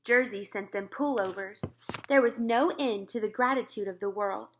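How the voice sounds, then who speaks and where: A woman reading a children's book aloud as scripted narration, expressive and clearly phrased. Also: medium pitch, normal speed, slight background noise. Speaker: storybook reader.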